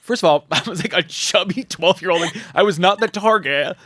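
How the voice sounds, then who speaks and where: A man's voice: high voice, brisk, sharp tone, no room sound. Man chatting casually, dry close sound. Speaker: podcast guest. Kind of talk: casual chat.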